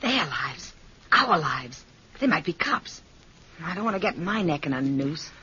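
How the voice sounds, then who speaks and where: An actress in an old radio horror drama playing a young, scheming, hot-tempered tavern woman with a sharp-tongued, dramatic delivery. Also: low voice, very animated, measured pace, breathy edge, muffled recording, exaggerated voice. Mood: anxious. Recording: band-limited vintage radio recording, crowd behind.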